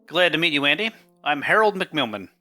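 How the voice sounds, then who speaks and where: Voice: medium pitch, big pitch swings, a little fast, bright, forceful tone, faint music behind. A performer playing a proud, eccentric elf toy inventor in an audio play, precise and boastful. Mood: gruff greeting.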